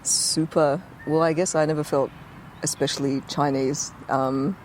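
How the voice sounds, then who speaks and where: A woman's voice: low voice, steady tone, a little slow, a little hiss or hum. An Australian woman, a guest who grew up speaking Cantonese first, talking casually in a hesitant, rambling way with frequent laughs. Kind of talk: casual conversation.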